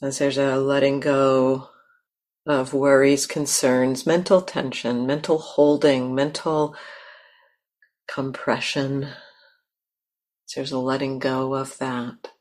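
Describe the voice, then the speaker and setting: Woman, low voice, slow pace, gentle guided meditation, long pauses. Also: flat delivery. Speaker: meditation teacher.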